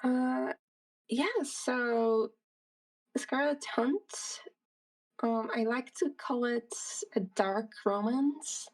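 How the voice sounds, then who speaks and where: A woman's voice: fairly high pitch, drawn-out words, darkish tone, distant mic, low-quality recording. A woman, a solo game developer, answering interview questions in a casual, thoughtful way with many fillers and restarts.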